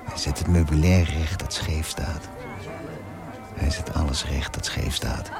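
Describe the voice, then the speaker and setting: A composed, observant hotelier in a radio play. His voice is extremely deep and his delivery measured and patient, with music clearly behind him. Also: fairly even intonation. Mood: musing. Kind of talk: radio drama monologue and dialogue.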